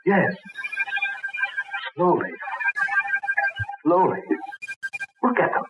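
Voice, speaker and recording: An actor playing an earnest, protective adventure hero and sweetheart in an old-time radio drama. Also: male voice, high-pitched, drawn-out words, loud music behind the voice. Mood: tense awe. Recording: very noisy, tinny vintage radio recording.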